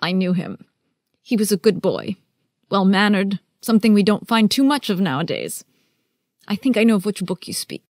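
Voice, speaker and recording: A woman playing a prim, wry older librarian in an animated film. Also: mid-range voice, moderate pace, dry recording, studio quality. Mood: reflective. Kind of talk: animated film character acting.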